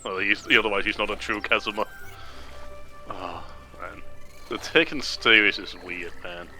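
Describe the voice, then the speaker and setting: A man's voice: lowish voice, moderate pace, pushed and bright. A man chatting casually as he comments on a video game, rambling and repeating words as he explains, with game music behind him. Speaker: co-host commenting on a game playthrough. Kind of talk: casual gaming chat.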